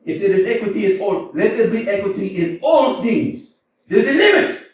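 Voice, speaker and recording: A man voicing a hot-tempered, blustering landowner in a staged reading of a play, with theatrical shouting bursts. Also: high voice, big pitch swings, moderate pace, slight background noise. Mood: furious. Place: small community theater. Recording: echoey room, far from mic.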